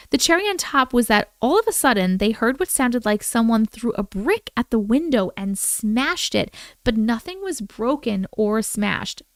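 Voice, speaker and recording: A woman hosting a live stream, reading listener stories aloud in a casual, animated way with chatty asides. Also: on the high side, no echo, studio quality. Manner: animated, informal, interjects reactions.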